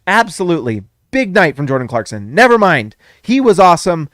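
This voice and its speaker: Excitable male sports host, higher voice, going a bit hoarse, dry close sound. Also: fairly quick, no room sound. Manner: excitable, emphatic repeats, self-correcting. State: losing his voice. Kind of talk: casual postgame basketball reaction.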